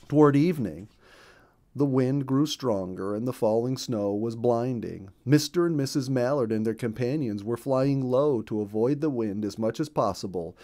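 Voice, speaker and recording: Mellow, expressive male storyteller. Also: medium pitch. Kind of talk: expressive children's story narration.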